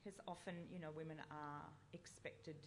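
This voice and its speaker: A woman poet speaking earnestly on a festival panel, growing animated as she describes what moves her. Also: sits low, normal speed, slight background noise. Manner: earnest, animated when moved. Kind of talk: panel discussion.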